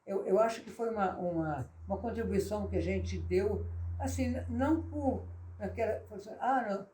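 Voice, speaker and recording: An older Brazilian woman with a warm, dark voice, recalling her career in a thoughtful way. She pauses and restarts as she searches for the right words. Her voice carries a roomy echo. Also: medium pitch, a little hiss or hum. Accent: Brazilian Portuguese. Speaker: singer, guest. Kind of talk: reminiscing interview conversation.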